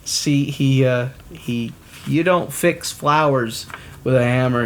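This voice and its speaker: A woman reads a picture book aloud at an unhurried pace, stopping for chatty asides about the pictures. Her voice rises and falls a lot as she talks. Clicks and a steady hiss of noise sit under the recording. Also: extremely low pitch. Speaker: storybook reader.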